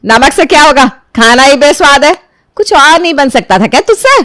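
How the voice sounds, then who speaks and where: A woman voicing a quick-tempered, domineering older mother-in-law in a story, nagging and accusing with sharp questions. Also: high-pitched, lively intonation, dry recording, studio quality, voice pushed up. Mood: nagging, irritated.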